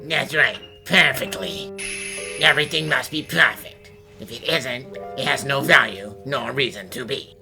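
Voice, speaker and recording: A woman plays a severe perfectionist lady bank manager in a cartoon-style dub. The voice is very low for a woman, with a gravelly creak. The delivery is formal and curt, over background music. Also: measured pace, heavily acted. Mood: stern, controlled. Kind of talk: amateur English fan dub of animated game dialogue.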